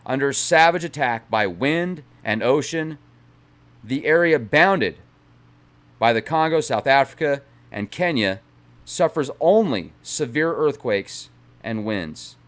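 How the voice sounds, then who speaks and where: A man's voice: medium pitch, animated, takes it slowly, fairly bright tone. A man streaming as he reads a book aloud dramatically, breaking off now and then for chatty digressions to his viewers. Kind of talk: reading a book aloud with casual asides.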